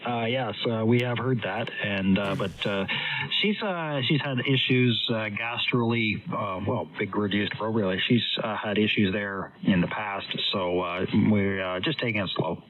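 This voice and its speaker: Low-voiced man on thin radio link. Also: normal speed, a crowd in the background. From Canada. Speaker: amateur radio operator. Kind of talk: casual radio chat. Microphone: amateur radio transmission. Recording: narrow phone-like radio signal, faint static.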